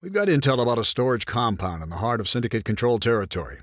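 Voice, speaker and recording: An actor playing a confident, blunt intelligence officer giving a clipped, matter-of-fact military briefing in a video game cutscene. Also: male voice, medium pitch, sing-song, racing, no echo, clean studio sound, close to an everyday voice.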